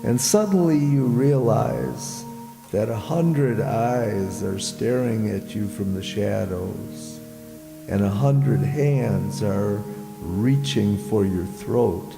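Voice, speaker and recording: A man narrates like an old-time radio announcer, theatrical and ominous. His creaky voice moves slowly through a reverberant hall, with music behind him. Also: fairly low pitch. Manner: theatrical, ominous, deliberate pauses. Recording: echoey hall, live stage with music. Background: dramatic music.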